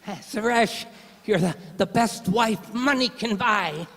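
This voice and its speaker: Man as a whiny, very high-voiced gangster villain. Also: slow, vocal fry, fairly bright tone, exaggerated voice. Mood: boastful, aggrieved. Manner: boastful, whiny, old-time gangster slang. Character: vain, resentful gangster henchman villain, second in command. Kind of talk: amateur radio-play acting. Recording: echoey reverberant hall.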